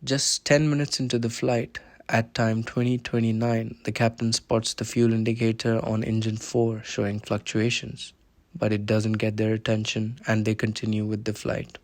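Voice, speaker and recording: A man's voice: lowish voice, flat delivery, normal speed. A man narrating a scripted documentary, explaining events step by step.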